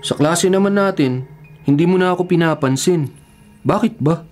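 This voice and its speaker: A man's voice: fairly high pitch, moderate pace, a little mellow, faint music behind, natural voice. An actor playing an earnest, troubled young male student in a Filipino radio horror drama. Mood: worried, earnest. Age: young adult.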